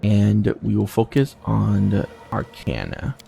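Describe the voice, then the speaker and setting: Low, creaky-voiced man gaming. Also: animated, slow, dark tone. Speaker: gaming video host. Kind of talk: casual game playthrough commentary, reading dialogue aloud. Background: game music.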